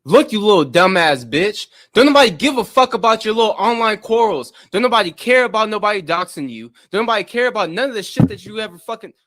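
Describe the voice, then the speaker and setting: A man ranting loudly and profanely, taunting others and mocking how flat they sound. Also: fast, few pauses. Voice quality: deep voice in his own view. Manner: profane, loud, taunting, mocks others' monotone. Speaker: streamer in a played clip. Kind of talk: angry rant.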